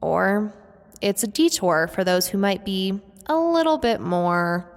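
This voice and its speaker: A woman's voice: mid-range voice, animated, measured pace. A woman playing a wry, cautious cleric adventurer with a hesitant, understated manner in a fantasy audio drama. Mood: musing. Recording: music underneath.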